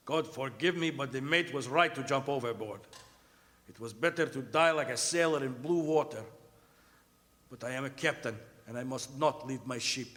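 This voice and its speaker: A man's voice: mid-range voice, fairly bright tone, close to an everyday voice. A man playing a doomed, devout ship's captain delivering his log as a radio drama monologue. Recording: echoey hall, live stage performance.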